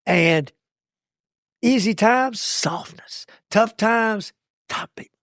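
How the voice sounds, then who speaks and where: A man with a raspy voice, a coach co-hosting a podcast, talking in punchy, preachy motivational bursts. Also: high voice, takes it slowly, fairly bright tone, no echo, clean studio sound. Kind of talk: casual podcast chat.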